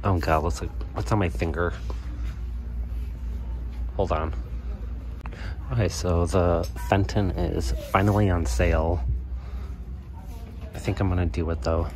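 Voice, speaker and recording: Deep-voiced man browsing, slow and casual. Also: steady tone, a little mellow. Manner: off-the-cuff, thinking aloud. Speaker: thrifting vlogger and reseller. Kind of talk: casual running commentary while browsing. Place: inside a large thrift store. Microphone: handheld phone camera close by. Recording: handheld phone in noisy store. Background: busy store ambience.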